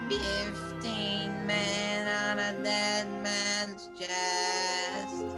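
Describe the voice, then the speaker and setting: Woman wailing as a very slow ghostly pirate. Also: medium pitch, monotone, over music. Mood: eerie. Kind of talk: radio play acting.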